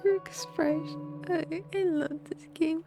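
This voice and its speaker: A woman's voice: very high voice, fairly even intonation, slow. A woman game streamer giving casual live reactions to the story she is playing, with game music behind her. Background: video game music and dialogue.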